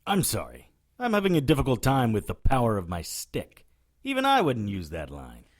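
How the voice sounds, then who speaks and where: A man's voice: medium pitch, huge pitch swings, moderate pace. A man playing a wry, cocky witch hunter who narrates and speaks in an audio drama, dry and sardonic. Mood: sardonic, defiant. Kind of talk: first-person audio drama narration and dialogue.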